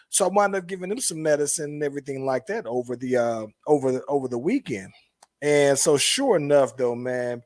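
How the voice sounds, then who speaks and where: A man hosting a podcast, groggy today, telling a long rambling story in a relaxed way and calling his listeners "man" and "bro". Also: a little high, dry recording, clean studio sound. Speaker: podcast host. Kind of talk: casual podcast chat.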